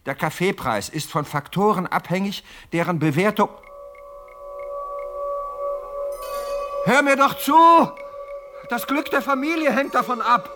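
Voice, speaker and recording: A man's voice: high-pitched, music in the background, lightly acted. Man acting a scheming, hot-headed businessman. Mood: exasperated. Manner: wheedling and self-important, quick to exasperation. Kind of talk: radio play acting.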